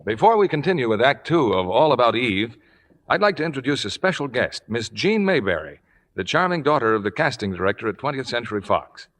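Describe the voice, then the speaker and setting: Animated male radio announcer, echoing hall. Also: mid-range voice, a little fast, background noise. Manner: polished, promotional. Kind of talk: scripted 1950s radio announcing and sponsor pitch. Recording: old radio broadcast in reverberant hall.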